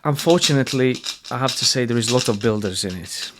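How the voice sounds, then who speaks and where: A podcast host speaks English with a Slovak accent at a mid pitch. He talks bluntly and earnestly, often restarting his phrases, and he is not shy about swearing. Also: fast, background noise. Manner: blunt and earnest, frequent restarts, salty language. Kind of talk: casual interview conversation.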